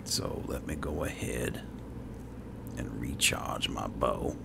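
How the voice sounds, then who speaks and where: A man's voice: extremely low pitch, slow pace, whispered. A man muttering to himself in casual commentary as he plays and roleplays a fantasy game. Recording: clear background noise, game ambience.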